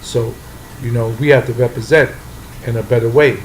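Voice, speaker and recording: A man's voice: medium pitch, fairly even intonation, moderate pace, a little hiss or hum, low-quality recording. Blunt New York man, street talk. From the Bronx, New York. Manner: blunt, street-talking, emphatic. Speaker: interview guest. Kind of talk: casual interview talk.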